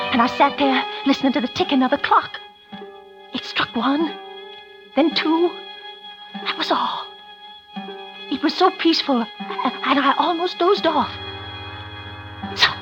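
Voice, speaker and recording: An actress in an old radio suspense drama playing a frantic, guilty wife, breathless and stammering in a melodramatic style. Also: female voice, a little high, close to an everyday voice. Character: frantic, guilty wife pleading for her life. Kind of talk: 1940s radio suspense acting. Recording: dull old radio recording, loud music behind.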